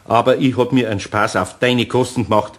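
A man playing a gruff kindly old Bavarian carpenter. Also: mid-range voice, steady tone, natural voice. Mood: gentle, teasing. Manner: grumbling, slow, good-natured. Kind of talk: radio play acting.

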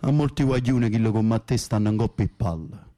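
An actor playing a tough, touchy young Camorra gangster in a radio drama, gruff, blunt and boastful, with a Neapolitan accent. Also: male voice, lowish voice, fast, warm tone, natural voice. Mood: irritated, proud.

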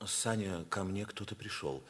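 An actor playing a fatherly, ageing male teacher with a husky voice and a hearty, solicitous manner in a 1950s radio drama. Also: low-pitched, lively intonation, moderate pace, fairly bright tone, slight background noise. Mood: serious, insistent. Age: older. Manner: hearty, exclamatory, paternal. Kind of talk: 1950s radio play acting.

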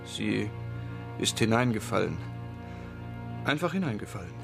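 An actor playing a troubled former drinker, wrongly convicted, confessing haltingly in a crime radio drama, with a husky voice. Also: male voice, lowish voice, sing-song, fairly bright tone, faint music behind, full character voice. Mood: soothing, subdued. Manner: halting, brooding.

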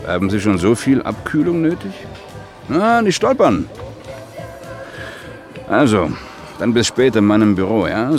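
An actor playing an officious, menacing supervisor in a radio play, blunt and condescending. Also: male voice, lowish voice. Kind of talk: German radio play acting. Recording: music and faint crowd behind, outdoors.

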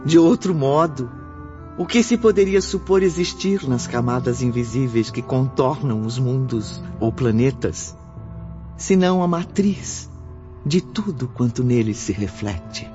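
Slow, solemn Brazilian male narrator. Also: medium pitch, expressive, mellow. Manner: solemn, declamatory. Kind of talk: scripted radio drama narration. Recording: dull lo-fi recording, faint music.

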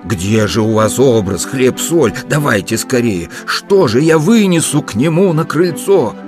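A man's voice: mid-range voice, sing-song, normal speed, over music, close to an everyday voice. A man voicing a fussy, superstitious country neighbour in an audiobook, bossy and scolding, full of exclamations. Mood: agitated scolding. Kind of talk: audiobook character voice.

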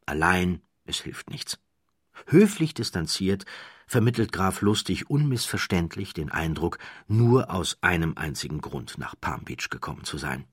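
A man reading a literary audiobook as a scripted narrator, with measured, deliberate phrasing and dramatic pauses. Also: low voice, slightly rough, no echo, clean studio sound.

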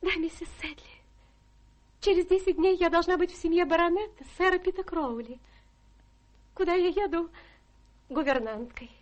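Sweet young would-be governess, ingratiating, high voice, breathy and sentimental, radio drama. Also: female voice, normal speed, long pauses. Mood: plaintive. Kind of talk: theatrical radio play acting.

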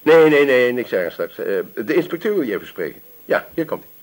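A middle-aged male police sergeant in a radio play. His husky voice rises and falls freely at a middle pitch, obliging and practical, deferring to his superior. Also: very animated, a little fast. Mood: hearty, businesslike. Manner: obliging, matter-of-fact. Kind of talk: Dutch radio drama acting.

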